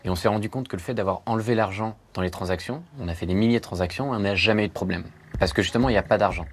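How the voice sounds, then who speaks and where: A man's voice: lowish voice, very fast, husky. A man explaining his free object-lending platform in a TV report, with many fillers. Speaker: startup founder interviewed. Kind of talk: explaining a project in an interview.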